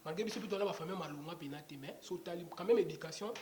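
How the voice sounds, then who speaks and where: A man's voice: high voice, fast talker, darkish tone. A young Congolese man, a rapper, talking casually in an interview, rambling with lots of fillers and restarts. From Democratic Republic of the Congo. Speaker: rapper guest. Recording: echoey room with clear background noise.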